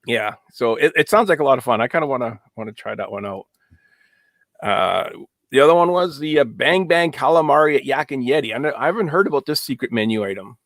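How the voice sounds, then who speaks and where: A man hosting a casual live stream, chatting and joking in a relaxed way with many fillers. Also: mid-range voice, quick pace, no room sound, studio quality. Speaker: host.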